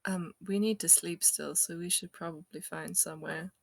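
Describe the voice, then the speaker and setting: Husky, creaky woman playing along. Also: lowish voice, steady tone, moderate pace, dry recording, studio quality. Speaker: player. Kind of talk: tabletop play and chat.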